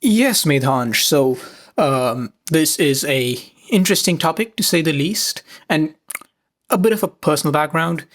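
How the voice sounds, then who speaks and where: A man's voice: on the high side, animated, measured pace, a bit bright. A teenage boy from India co-hosts a student podcast in a slightly raised voice. He makes his case earnestly and builds his argument point by point. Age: teens. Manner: earnest, explains his reasoning step by step. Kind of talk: casual discussion.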